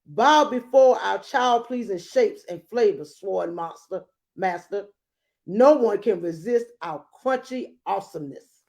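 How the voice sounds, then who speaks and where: A woman's voice: medium pitch, animated, unhurried, darkish tone. A woman voicing proud, pompous dinosaur chicken nuggets from a storybook in a declamatory manner.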